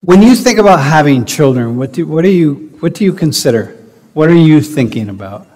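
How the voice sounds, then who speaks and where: A man's voice: on the high side, expressive, a little fast, darkish tone. A man, a professor, leading a discussion with his students and pressing them with rephrased questions. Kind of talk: lecture-hall conversation with students. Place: large lecture hall. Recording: echoey lecture hall.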